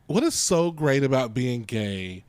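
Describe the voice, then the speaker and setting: A man co-hosting a podcast in casual chat, playful and teasing, with his pitch jumping up when excited. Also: a little slow, no echo. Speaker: podcast co-host.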